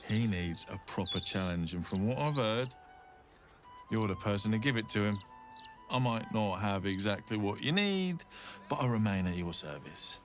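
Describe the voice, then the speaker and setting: An actor playing a shrewd, measured, disdainful merchant in a fantasy video game. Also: male voice, lowish voice, animated, soft background music, natural voice. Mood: conspiratorial. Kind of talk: video game character acting.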